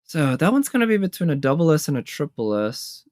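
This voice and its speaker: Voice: mid-range voice, very animated, a little fast, darkish tone, no room sound, studio quality. A casual reviewer giving reaction commentary on game trailers, conversational and musing, as if thinking aloud. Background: game trailer music.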